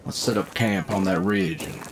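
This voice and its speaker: A man's voice: lowish voice, lively intonation, moderate pace, background noise. A man playing a laconic, dry-witted fighter in a fantasy audio drama. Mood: alert, unhurried. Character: laid-back, dry-witted male fighter of a fantasy crew. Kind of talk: fantasy audio roleplay acting. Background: music.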